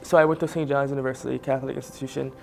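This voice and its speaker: A young man from Haiti sharing his personal story as a guest, earnest and pausing with fillers. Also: medium pitch, level tone, gravelly, faint music behind. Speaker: spotlight guest. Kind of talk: personal testimony.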